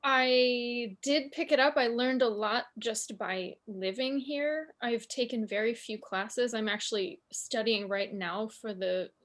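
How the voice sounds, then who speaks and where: Woman panelist, earnest and a bit high. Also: a little slow, few pauses, fairly bright tone. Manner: earnest, explanatory, emphatic advice. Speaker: panelist, opera singer. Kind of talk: casual panel discussion. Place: living in Germany.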